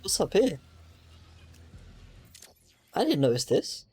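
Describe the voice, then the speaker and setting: A man's voice: high-pitched, wildly swooping pitch, slow, warm tone. A young man, playfully muttering reactions over his game. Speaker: gamer. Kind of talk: let's play commentary.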